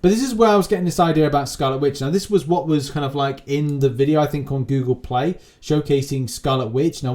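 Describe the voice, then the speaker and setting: A man's voice: on the high side, dark tone. Male gaming channel host, informal and unscripted, talking in loose, repetitive, rambling commentary.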